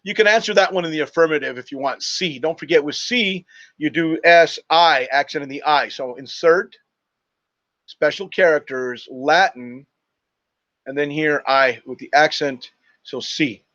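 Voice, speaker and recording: A man's voice: a little high, normal speed, a bit bright, lo-fi sound. A man teaching a lesson over a screen share, explaining step by step in an instructive manner and spelling things out. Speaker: Spanish teacher.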